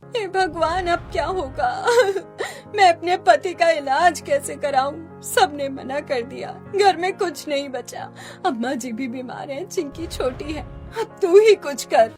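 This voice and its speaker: High-voiced, tearful poor village wife played by a woman. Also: lively intonation, slow, darkish tone, faint music behind. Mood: tearful despair. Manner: pleading, tearful. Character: distraught poor young village wife pleading for help. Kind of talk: Hindi cartoon story voice acting.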